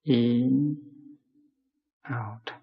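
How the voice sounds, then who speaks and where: A man leading a guided mindfulness meditation, speaking gently and deliberately in short phrases with long pauses between them. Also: medium pitch, fairly even intonation, gravelly, slightly rough. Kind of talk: guided meditation talk to a community. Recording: muffled recording in a long-reverberant hall.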